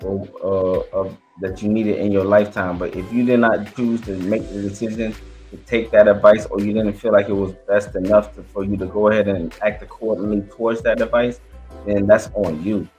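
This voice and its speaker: A man's voice: fairly low pitch, fairly even intonation, fairly quick, darkish tone, faint music behind. A man hosting a reaction show, commenting casually on a podcast clip in an emphatic, preachy way that repeats points. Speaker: reaction channel host.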